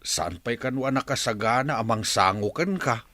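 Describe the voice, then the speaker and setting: A man playing a gentle, sorrowful elderly father in a village radio drama, slow and plaintive. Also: mid-range voice, expressive, no room sound.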